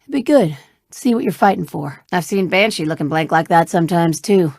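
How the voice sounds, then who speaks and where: A woman's voice: on the low side, lively intonation, a little fast, gravelly, a little mellow, no echo, studio quality. An actress voicing a spirited young woman ship pilot and mechanic in a video game, with casual, familiar, folksy banter. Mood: casual, chatty. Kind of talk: video game character dialogue.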